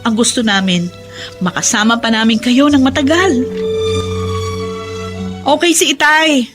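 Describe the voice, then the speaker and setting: A woman acting a caring, worried adult daughter in a Filipino radio drama, earnest, respectful and gently scolding. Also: fairly high pitch, fairly even intonation, measured pace, soft background music. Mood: earnest pleading.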